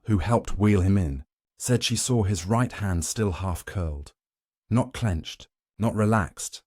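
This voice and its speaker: A man's voice: sits low, mellow, dry recording, studio quality. A man narrating a scripted dramatic story in a solemn, suspenseful way, in short weighted phrases.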